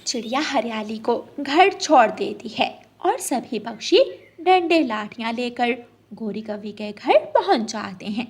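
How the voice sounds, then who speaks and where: A woman's voice: fairly high pitch, lively intonation, measured pace, breathy edge. A woman narrating a children's cartoon story.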